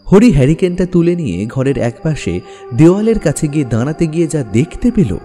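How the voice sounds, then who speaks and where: Male storyteller for horror audio: warm dark tone, animated, suspenseful pauses, music underneath. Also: mid-range voice. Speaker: narrator. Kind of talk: dramatic scripted horror story narration.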